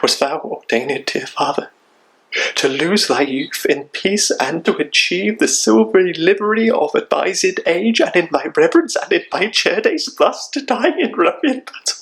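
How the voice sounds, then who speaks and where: A man's voice: mid-range voice, slow, pushed and bright. A man playing a grief-stricken, vengeful young warrior in a Shakespeare monologue, declaiming with anguished intensity. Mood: grieving.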